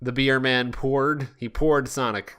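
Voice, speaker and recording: A man hosting a podcast, reading fan fiction aloud in a jokey, deliberate way with frequent asides. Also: medium pitch, steady tone, a bit bright, clean tone. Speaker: podcast host.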